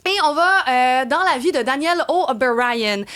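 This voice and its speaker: A young woman with a Québécois accent tells a true crime story in a radio studio. Her voice sits high. She is playful and lively, and she lowers her voice for the darker details. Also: measured pace. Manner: lively, playful storyteller who drops to a hushed tone for grim details.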